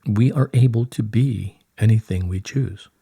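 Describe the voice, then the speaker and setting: Serene beings of light speak through a man reader in a low, slightly rough-grained voice. The phrasing is stately and formal, delivered as a solemn channelled message. The sound is close and dry. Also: a little slow, warm tone, no room sound, full character voice. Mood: serene, explanatory.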